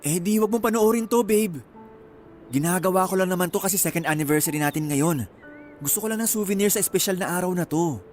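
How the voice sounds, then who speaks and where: A man's voice: high-pitched, quick pace, soft background music, close to an everyday voice. A man with a Filipino accent playing a manipulative, hot-tempered young boyfriend in a radio drama. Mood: coaxing.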